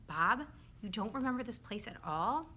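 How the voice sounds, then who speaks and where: Woman as a bright, curious storybook girl. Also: mid-range voice, lively intonation, moderate pace, slight background noise. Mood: puzzled, thoughtful. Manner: direct, coaxing, questioning. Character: curious, bossy, caring young girl, storybook heroine.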